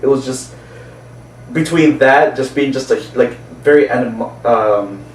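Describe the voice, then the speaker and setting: A man's voice: medium pitch, unhurried. A man talking casually and enthusiastically about movies, often chuckling as he speaks, recorded roomy and distant. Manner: enthusiastic, chuckling as they talk. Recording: roomy, distant mic, faint background noise.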